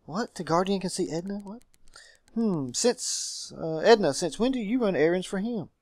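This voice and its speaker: A man's voice: sits high, expressive, moderate pace, darkish tone, no room sound, voice pushed up. Young male student for a game, read by a man, inquisitive, plain delivery, dry sound. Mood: startled.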